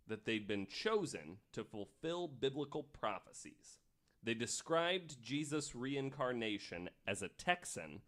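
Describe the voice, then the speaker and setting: A man's voice: fairly low pitch, fairly bright tone. A man hosting a podcast, telling stories from notes in an informal, casual way. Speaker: podcast host.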